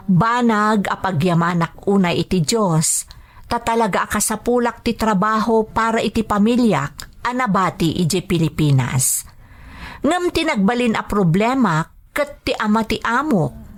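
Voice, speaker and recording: A woman's voice: mid-range voice, lightly acted. A woman with a Filipino accent playing a patient, anxious young maid working abroad in a radio drama. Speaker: drama actor. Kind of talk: radio drama acting and letter narration.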